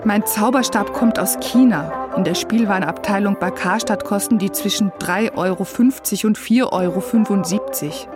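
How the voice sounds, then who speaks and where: A woman's voice: mid-range voice, normal speed, few pauses. A woman reading literary first-person narration for a radio feature, recorded as a close voice-over. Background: music.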